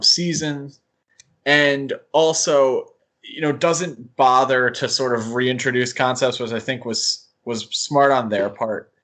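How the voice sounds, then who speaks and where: A man co-hosting a podcast, discussing things casually in a halting, hedging way. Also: mid-range voice, fairly bright tone. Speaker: podcast co-host.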